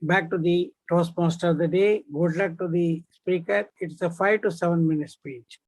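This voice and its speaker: A man's voice: fairly high pitch, steady tone, rough recording. A man, formally reading out project objectives as a speech evaluator on a video call. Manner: formal, measured.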